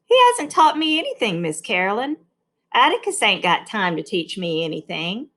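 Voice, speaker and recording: A woman's voice: medium pitch, very animated, normal speed, sharp tone. A woman reading a storybook as a frank, earnest young schoolgirl who protests in plain, folksy words. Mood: surprised, defensive. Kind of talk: read-aloud storybook character.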